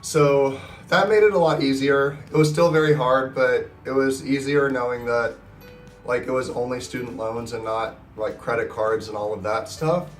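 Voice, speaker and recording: A man's voice: mid-range voice, fairly even intonation, moderate pace. A man in his twenties, sick and talking with a nasal, scratchy voice, in an upbeat, rambling way as he tells his story in a casual vlog. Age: 20s. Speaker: personal finance vlogger. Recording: roomy echo, distant mic, faint guitar music.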